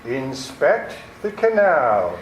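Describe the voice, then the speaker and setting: A man's voice: medium pitch, wildly swooping pitch, extremely slow, full character voice. A man playing a menacing, scheming criminal nephew in a radio play, mock-courteous, theatrical and commanding. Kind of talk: 1940s-style radio theater acting. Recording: echoey room with faint noise.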